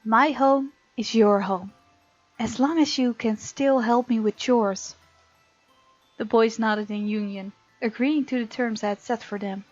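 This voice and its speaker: A woman with a slightly high voice hosts a visual novel let's play. She reads the text aloud with expression and slips into chatty commentary. The sound is close and dry, a little limited in band, with music playing behind her. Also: unhurried, dry recording, highs cut off. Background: game music.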